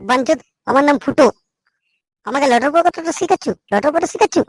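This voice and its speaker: A high-voiced young cartoon layabout, played by a woman, brash and resentful. He grumbles and swears like a put-upon worker with a grudge. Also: animated, a little fast, no room sound, low-quality recording. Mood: vengeful. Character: foul-mouthed, resentful young male slacker worker with big threats. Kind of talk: Bengali comedy cartoon dub.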